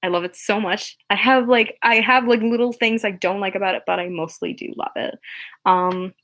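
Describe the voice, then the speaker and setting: A woman's voice: normal speed, fairly bright tone. A young woman chatting casually on a livestream, rambling with many fillers and restarts, earnest and encouraging as she answers viewer questions. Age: young adult. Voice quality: low speaking voice. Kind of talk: casual unscripted chat on a livestream.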